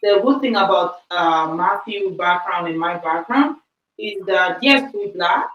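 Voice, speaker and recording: A woman whose first language is Spanish, co-hosting a podcast and telling stories in a casual, lively way with frequent laughs. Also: lowish voice, measured pace, distant mic, lo-fi sound. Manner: lively storyteller, laughs often, says "like" a lot. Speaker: podcast co-host. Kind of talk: casual chat, storytelling. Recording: echoey room, off-mic.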